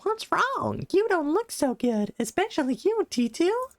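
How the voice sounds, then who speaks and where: A streamer doing a squeaky little fairy companion. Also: big pitch swings, a little slow, heavily acted. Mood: worried. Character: small squeaky fairy companion, plucky and chatty. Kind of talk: game dialogue read aloud.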